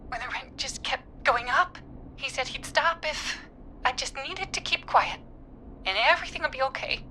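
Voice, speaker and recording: Bright, perky, flirty studio owner. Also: female voice, sits high, normal speed, a little hiss or hum, low-quality recording. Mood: nervous, guarded. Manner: theatrical, gushing. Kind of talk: video game voice acting.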